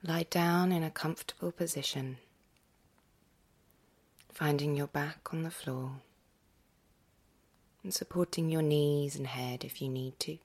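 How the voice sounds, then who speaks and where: A woman leads a guided meditation in a lowish, breathy voice that often drops close to a whisper. Her delivery is very slow and gentle, with long pauses. There is a noticeable creak in her soft, soothing tone. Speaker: yoga nidra meditation guide.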